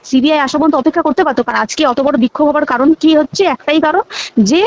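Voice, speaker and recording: A young Bengali woman from the sound of her West Bengal accent, speaking very quickly in a high voice. She is insistent and conversational, repeating herself for emphasis, on a dull, lo-fi phone recording. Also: dry recording. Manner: emphatic, insistent, repeats words and checks listener follows. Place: phone call.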